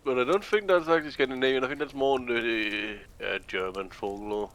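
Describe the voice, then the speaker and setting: A man in casual chat over a video game, explaining things in a halting way and repeating words as he goes. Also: mid-range voice, fairly bright tone, dry recording. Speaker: gameplay co-commentator explaining folklore.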